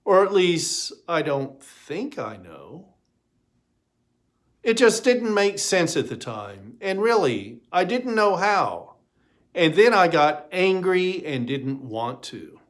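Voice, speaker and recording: A man's voice: on the high side, big pitch swings, takes it slowly, voice pushed up. A man voicing an exasperated, anxious small-town mayor in a storybook reading, with an indignant, hesitant manner. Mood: flustered.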